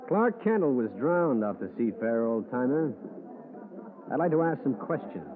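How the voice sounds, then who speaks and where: A man's voice: medium pitch, very animated, moderate pace, close to an everyday voice. Figure: a man doing a wisecracking hardboiled detective. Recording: thin phone-like vintage broadcast. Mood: dry. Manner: dry, wisecracking. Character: hardboiled male private detective. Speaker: narrator. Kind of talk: 1940s radio acting. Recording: tinny old radio broadcast.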